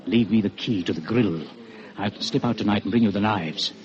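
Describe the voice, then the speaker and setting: An actor playing a calm, cunning, gentlemanly prisoner in an old radio drama, controlled and persuasive. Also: male voice, on the low side, normal speed, close to an everyday voice. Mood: hushed, urgent. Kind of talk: 1940s radio drama acting. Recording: muffled, noisy old radio broadcast.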